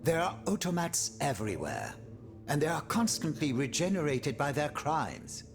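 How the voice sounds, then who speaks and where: An anxious male councillor in a historical game, with a gravelly, fairly high voice. He questions urgently, music behind him. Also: very animated, moderate pace. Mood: worried.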